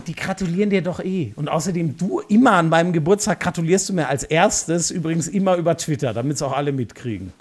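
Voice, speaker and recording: A man's voice: on the high side, quick pace, few pauses, breathy edge. A man co-hosting a sports podcast, joking and ribbing his partner in casual chat and laughing often. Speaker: podcast co-host.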